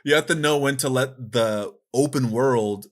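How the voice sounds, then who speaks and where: A man chatting casually as a podcast co-host, with an animated, informal manner. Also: mid-range voice, a little slow. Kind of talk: casual podcast chat about video games.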